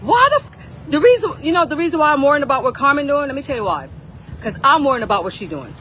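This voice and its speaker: A woman's voice: sits high, big pitch swings, fast, bright, forceful tone, slight background noise. A woman on a casual livestream rant, confrontational and swearing, repeating phrases for emphasis.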